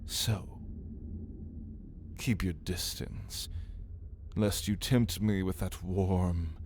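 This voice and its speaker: A man playing a caged ancient vampire in an ASMR roleplay, refined and hungry, speaking in theatrical, menacing, archaic phrases with a breathy voice that often drops into a whisper. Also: sits low, fairly even intonation, very slow, a little mellow, a little hiss or hum. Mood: restrained, solemn. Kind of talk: ASMR roleplay monologue spoken to the listener.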